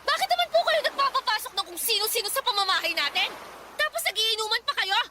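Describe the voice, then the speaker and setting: A woman's voice: very high voice, animated, fast, heavy background noise. A woman playing a responsible, fed-up young eldest daughter in an emphatic radio drama style. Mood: indignant. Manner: indignant, scolding, emphatic.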